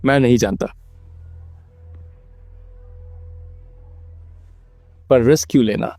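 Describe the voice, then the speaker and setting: An actor plays a gentle middle-aged man, a mentor figure in a game. His voice is dark and soft-edged, closely and dryly recorded, with a measured, polite, sincere delivery. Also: medium pitch, no room sound, close to an everyday voice. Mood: cautious, worried. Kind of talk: Hindi-dubbed video game dialogue.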